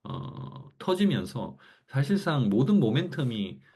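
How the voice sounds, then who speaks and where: A man's voice: medium pitch, very animated, slow, warm tone, breathy edge. A man with a Gyeongsang accent giving a casual online class lecture, thinking aloud in a loose, conversational way with frequent fillers. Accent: Gyeongsang Korean. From Gyeongsang region, Korea.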